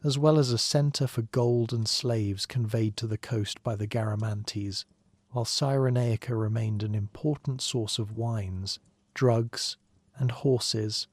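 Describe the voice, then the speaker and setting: A man narrating a documentary in a gentle, even, scripted way, with dry asides. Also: medium pitch, dry recording. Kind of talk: calm scripted sleep narration.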